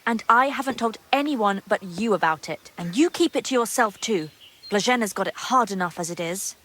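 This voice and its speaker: Guarded young maid played by an actress, ambient noise. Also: female voice, medium pitch, animated, normal speed, fairly bright tone, close to an everyday voice. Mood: confiding. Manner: guarded, secretive, earthy turns of phrase. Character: gossipy, guarded young monastery maid in medieval Bohemia. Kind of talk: naturalistic video game drama acting. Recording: clear background ambient noise.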